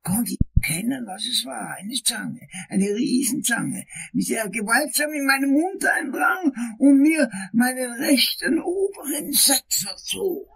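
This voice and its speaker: An actor playing a fussy, shaken older lawyer in a crime radio play, with a breathy, raspy, halting, long-winded delivery. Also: male voice, very high voice, expressive, fairly bright tone, full character voice. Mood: agitated, horrified. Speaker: client, prosecutor character.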